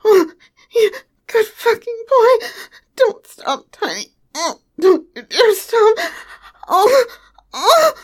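A tall, teasing young lover, played by a woman murmurs in a soft, breathy whisper. Her voice sits high, and she takes her time over every word. It is close and personal, spoken straight to the listener. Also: slow pace. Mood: breathless heated. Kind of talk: intimate audio roleplay to the listener.

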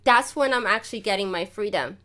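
A woman who is a photographer, a guest on a podcast, chatting casually in a lively way and laughing easily. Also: mid-range voice, brisk. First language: not German; lives and works in German. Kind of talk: casual interview chat.

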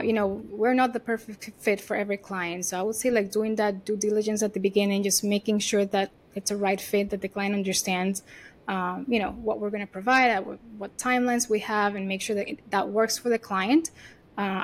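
A woman's voice: medium pitch, steady tone, fairly quick. Venezuelan woman, chatty and upbeat. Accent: Venezuelan Spanish. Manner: chatty, leans on "you know" and "like". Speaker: agency CEO, guest. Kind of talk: casual interview answers.

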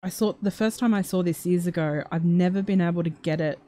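A woman's voice: fairly low pitch, brisk, mellow. A woman hosting a livestream in casual chat, reading out viewer comments and rambling with many fillers and restarts.

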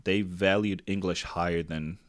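Deep-voiced Tongan-raised man hosting casually. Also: steady tone, normal speed. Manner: discursive, rephrases points, checks for agreement. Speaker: podcast host. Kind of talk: casual conversational interview.

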